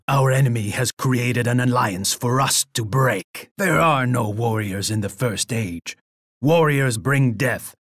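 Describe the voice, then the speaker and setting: An actor playing a proud, menacing Aztec emperor in a video game, boastful and imperious, speaking with pompous theatrical weight. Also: male voice, mid-range voice, a bit bright, no room sound, clean studio sound. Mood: grim, triumphant. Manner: pompous, speaks of himself in the third person. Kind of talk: dramatic video game character lines.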